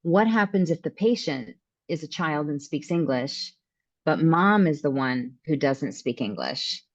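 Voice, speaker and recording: A woman's voice: low voice, expressive, a little slow, lo-fi sound. A woman hosting a webinar discussion, prompting her guest with follow-up questions and relaying audience comments. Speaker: host and interpreter.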